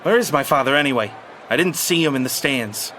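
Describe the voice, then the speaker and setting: A man's voice: medium pitch, animated, brisk, bright, forceful tone, a little hiss or hum. A man playing an earnest, bossy young team captain in a cartoon, commanding and impatient. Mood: disappointed.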